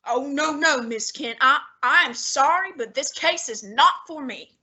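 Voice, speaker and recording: A pompous, haughty male detective, fat and lazy, played by a girl. The voice sits high and bright, and the lines come out slow and clipped for a student radio play. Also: full character voice. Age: teens.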